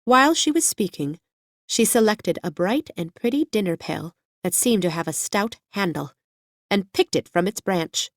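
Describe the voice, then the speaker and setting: A woman narrating children's fiction as an audiobook, in a measured storytelling manner. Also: mid-range voice, expressive, no echo, studio quality.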